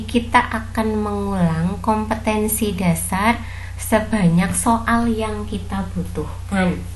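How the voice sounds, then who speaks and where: A woman with a Javanese Indonesian accent narrating a software tutorial step by step, instructing politely and repeating each step. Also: medium pitch, a little hiss or hum, low-quality recording.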